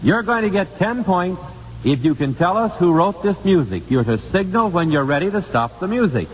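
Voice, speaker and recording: An American man hosting a live 1950s radio quiz, putting questions deliberately and repeating key phrases for emphasis on an old broadcast recording. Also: sits high, big pitch swings. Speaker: quiz show host. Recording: old radio broadcast, hall reverb, hiss.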